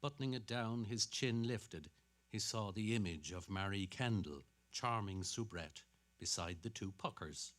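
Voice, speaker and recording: A man's voice: fairly low pitch, lively intonation, measured pace, a bit bright. A man narrating literary fiction as a dramatic reading.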